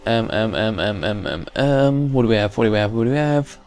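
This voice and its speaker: Halting male gamer thinking aloud. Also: lowish voice, animated, darkish tone. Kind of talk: casual let's play commentary.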